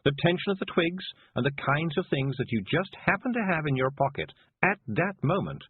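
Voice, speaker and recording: A man voicing a kindly, patient woman witch who explains gently, with a husky voice. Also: a little high, sing-song, moderate pace, a little hiss or hum, voice pushed up. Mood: explaining. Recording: dry tinny phone-like sound.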